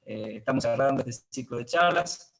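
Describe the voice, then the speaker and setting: An Argentine man with a mid-pitched voice moderates an online talk. He speaks informally and haltingly, often pausing to search for his next word. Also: vocal fry, a bit bright, soft background music. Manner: hesitant, halting, searching for words. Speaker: host of an online theatre talk. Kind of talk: informal spoken closing remarks and moderation.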